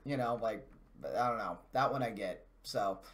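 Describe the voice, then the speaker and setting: An American man co-hosting a video game news show, giving casual but wordy, halting commentary in measured, somewhat formal words. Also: mid-range voice, a bit bright.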